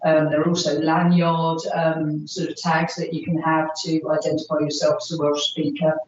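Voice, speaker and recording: A woman's voice: low-pitched, level tone, normal speed, a little hiss or hum. A woman answering questions at a formal meeting as a park authority officer, hesitant and full of fillers. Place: meeting room. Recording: roomy, distant, dull recording.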